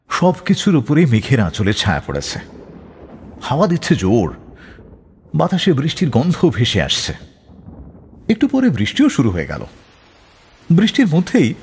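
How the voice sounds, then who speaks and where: A man tells a scripted story as a radio host. His voice is husky and swings widely in pitch for dramatic effect, with long reverb trailing behind it. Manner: dramatic, expressive storytelling delivery.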